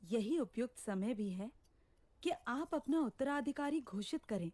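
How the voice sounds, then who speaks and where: A woman's voice: on the high side, lively intonation, unhurried, dark tone, no room sound. A woman playing a confident, approving queen in a mythological cartoon, speaking in a courtly, emphatic way. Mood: approving, assured.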